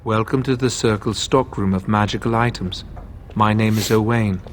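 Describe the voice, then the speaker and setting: A man acting as a courteous clerk of a magical stockroom in a fantasy game. His voice is lowish, formal and measured, over faint background noise. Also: steady tone, close to an everyday voice. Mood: polite, businesslike. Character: polite, formal male stockroom clerk of mages.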